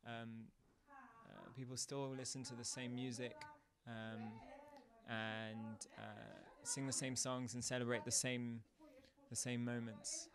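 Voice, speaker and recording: A man giving hesitant interview answers, halting and restarting his words. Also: mid-range voice, a crowd in the background.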